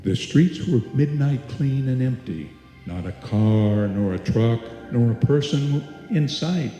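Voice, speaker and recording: A man's voice: medium pitch, expressive, takes it slowly, mellow. A man narrating a scripted suspense radio play as its storyteller, performed live. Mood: measured, suspenseful. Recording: echoey hall, music behind, live stage.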